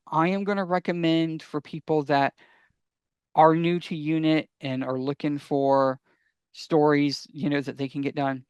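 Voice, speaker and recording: A man hosting a casual fan podcast, talking enthusiastically and sometimes haltingly as he gives recommendations. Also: a little high, clear, resonant tone, no room sound, highs cut off. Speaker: podcast host.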